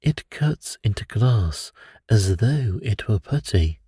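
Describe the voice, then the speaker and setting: A man voicing an excitable, humble Victorian commissionaire in a bedtime story, deferential and amazed. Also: lowish voice, takes it slowly, breathy edge, dry recording, clean studio sound, heavily acted. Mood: awed.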